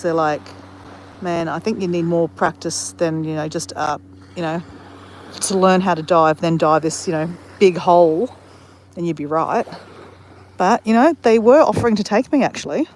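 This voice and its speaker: A woman's voice: on the low side, slight background noise. An Australian woman chatting casually while walking on a beach, telling a rambling story full of self-corrections. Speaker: vlogger. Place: outdoors on a beach.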